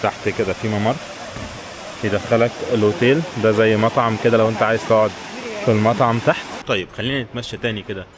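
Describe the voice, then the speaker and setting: A man's voice: fairly low pitch, steady tone, quick pace. A man with an Egyptian Arabic accent chatting casually and informally to viewers as a travel vlogger. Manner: informal, addresses viewers directly. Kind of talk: casual vlog chat to camera. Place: outdoors in town streets. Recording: clear outdoor street and traffic noise.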